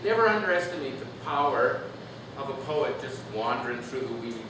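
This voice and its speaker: A man's voice: medium pitch, big pitch swings. Echoey, distant man at a reading. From Canada. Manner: measured, deliberate delivery. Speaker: poet reading from own work. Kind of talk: live poetry reading with informal anecdotes. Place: echoey room at a live reading. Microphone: distant microphone. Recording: distant, echoey room with noisy background.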